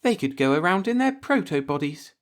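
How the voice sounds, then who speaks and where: Man putting on a coy, high-pitched playful young woman. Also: sing-song, fairly quick.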